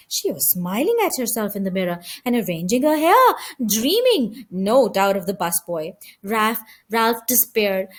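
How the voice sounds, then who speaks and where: A woman reading a children's story aloud with a dramatic, emphatic delivery. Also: high-pitched.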